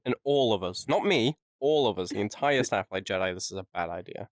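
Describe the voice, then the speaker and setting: A man's voice: on the low side, animated, fairly quick, no echo, clean studio sound. A man co-hosting a casual podcast, teasing and needling as he chats. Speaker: podcast co-host.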